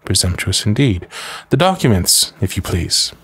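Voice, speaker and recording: A low male voice, recorded close and dry, an actor as a noble lady's loyal manservant in a roleplay drama. He is formal and measured, deferential to his mistress, with a protective, drily disapproving edge. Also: big pitch swings, fairly bright tone, slightly rough, no room sound, heavily acted. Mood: coolly polite. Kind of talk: scripted ASMR roleplay acting.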